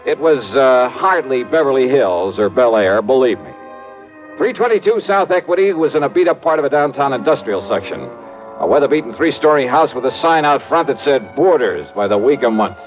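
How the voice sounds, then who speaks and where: An actor playing a brisk, wry, tough male insurance investigator in a 1950s radio detective drama, with clipped speech. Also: medium pitch, lively intonation, lightly acted. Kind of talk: 1950s radio detective acting and first-person narration. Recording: dull vintage radio recording, prominent music.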